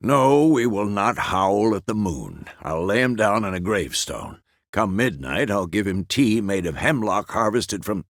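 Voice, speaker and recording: An aging monster hunter from a fantasy game, weary but steadfast. The voice is low and gravelly, with wide swings of pitch and a close, dry sound. He speaks in terse, measured phrases with a gruff fatherly authority. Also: a bit bright, dry recording, exaggerated voice. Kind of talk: fantasy video game character dialogue.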